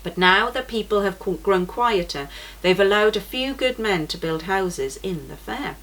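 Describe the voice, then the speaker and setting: A woman voicing a steady grown man who guides pilgrims, speaking calmly and reassuringly. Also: mid-range voice, normal speed, low-quality recording. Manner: calm, reassuring.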